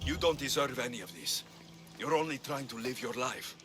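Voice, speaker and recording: An actor playing a cocky, flirtatious Greek mercenary hero in video game cutscenes. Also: male voice, medium pitch, normal speed, fairly bright tone, lightly acted. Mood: assured, sympathetic. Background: music.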